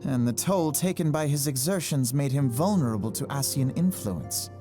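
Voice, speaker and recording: A man's voice: medium pitch, expressive, moderate pace, over music, natural voice. A man voicing a grave, scholarly adviser in a fantasy game. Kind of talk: scripted fantasy game dialogue.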